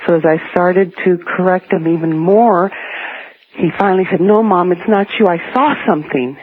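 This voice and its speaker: A middle-aged woman calling a radio show, earnestly and haltingly recounting a personal ghost story. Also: on the low side, a little hiss or hum. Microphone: telephone. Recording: muffled narrowband telephone line on radio.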